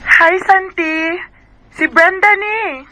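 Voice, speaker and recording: Woman acting an emotional high-voiced young lady. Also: takes it slowly, bright, forceful tone, heavily acted, poor audio. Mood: coy. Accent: Filipino, Visayan. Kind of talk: radio drama acting.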